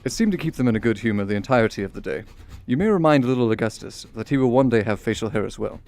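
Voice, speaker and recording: An actor playing a courteous, affectionate Victorian gentleman reading letters to his sister in a radio drama, in formal, articulate period diction. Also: mid-range voice, animated, fast, natural voice. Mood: fond, amused.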